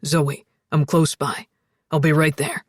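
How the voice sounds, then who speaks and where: Give this audiobook hero as a woman reader plays him a much lowered, husky voice. He is a steady, protective man who speaks firmly and directly, urgent but soothing. The sound is close and dry. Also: measured pace, no room sound. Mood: urgent concern. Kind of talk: audiobook character dialogue.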